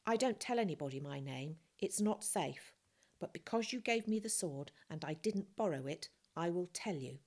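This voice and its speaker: A woman's voice: lowish voice, lively intonation, moderate pace, a little mellow. A woman voicing a small, cheeky, secretive orphan boy in a storybook reading, speaking in short matter-of-fact phrases. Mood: guarded, earnest.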